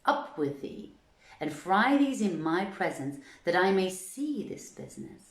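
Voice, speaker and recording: In a roomy echo, a woman acts out an imperious male vizier from an old storybook tale. The official gives formal, archaic commands at a slow, deliberate pace. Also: mid-range voice, sing-song.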